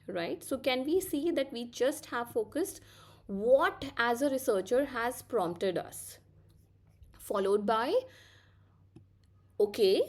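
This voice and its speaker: A woman's voice: medium pitch, a little mellow. A woman giving an explanatory online lesson as an academic instructor, emphatically repeating key points and posing questions that she then answers. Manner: didactic, asks rhetorical questions and answers them. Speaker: academic writing instructor and researcher.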